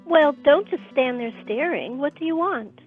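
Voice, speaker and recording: Thin, tinny audio with faint music carries a woman acting a haughty young lady in a radio play. Her highish voice is arch, formal and coolly wry. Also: animated, normal speed. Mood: haughty, amused.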